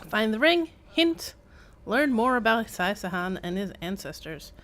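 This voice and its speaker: A woman's voice: medium pitch, very animated, unhurried, fairly bright tone. A woman streamer giving casual live game commentary in a wry, rambling, chatty way.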